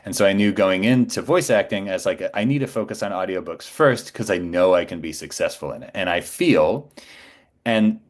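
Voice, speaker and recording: A man in his forties with a very low voice talks as a guest in a casual conversation. He tells stories about himself in a self-assured, anecdotal way. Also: moderate pace. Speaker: podcast guest, voice actor.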